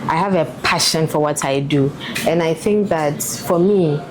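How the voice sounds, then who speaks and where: Low-voiced woman, earnest career interview guest. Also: normal speed, crowd noise. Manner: earnest, reflective, many fillers. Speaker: air traffic controller, guest.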